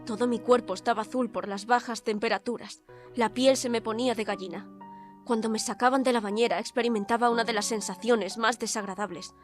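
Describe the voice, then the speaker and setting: A woman's voice: a little high, fairly even intonation, fairly quick, lightly acted. An actress playing a defiant, articulate young woman reporter held in an asylum, in a dramatic audio drama. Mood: grave, recounting. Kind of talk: audio drama narration and dialogue. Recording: music under the voice.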